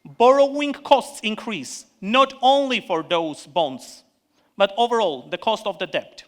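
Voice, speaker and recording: A man with an Armenian accent, an economist giving an earnest, measured prepared speech on a conference panel, stopping now and then to restart a word. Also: high voice, lively intonation.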